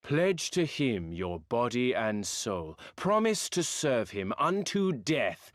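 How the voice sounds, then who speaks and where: Arrogant sun tribe ruler in a game, low voice, slow n pompous, old-timey wording. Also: male voice, dry recording, studio quality, exaggerated voice. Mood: grand, imperious. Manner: pompous, archaic formal speech. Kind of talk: theatrical video game cutscene acting.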